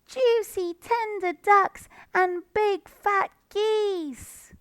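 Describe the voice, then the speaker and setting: Tiny fox kids squeaking high and eager, dry sound. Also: female voice, steady tone, extremely slow, fairly bright tone, no room sound, exaggerated voice. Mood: excited. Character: excited small fox children.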